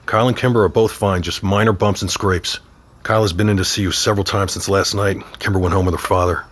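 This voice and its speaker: A man acting a steady, protective middle-aged father in an audio drama, husky and measured, mildly stern. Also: deep voice. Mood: calm, reassuring.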